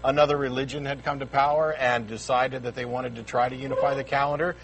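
A man's voice: medium pitch, flat delivery, fast, rough recording. A tired man co-hosting a call-in show, direct and wry when answering callers. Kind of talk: casual talk-show discussion. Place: studio.

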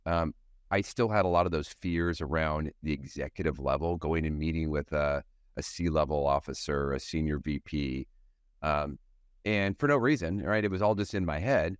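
Deep-voiced middle-aged man, reflective. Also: no echo, studio quality. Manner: thoughtful storyteller, frequent "you know" fillers. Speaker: guest, sales professional. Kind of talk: reflective interview answers.

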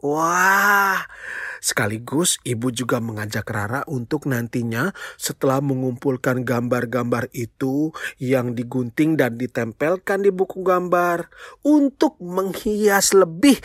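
A storyteller telling a children's tale in an expressive, playful way, speaking straight to young listeners with exclamations and questions. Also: mid-range voice, breathy edge, no room sound, clean studio sound. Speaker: children's storyteller, show host.

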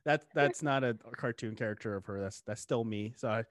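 A man's voice: medium pitch, brisk, no echo, clean studio sound. A young man hosting a podcast. He chats casually, rambling with lots of fillers and laughing often. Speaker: podcast host. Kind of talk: casual chat.

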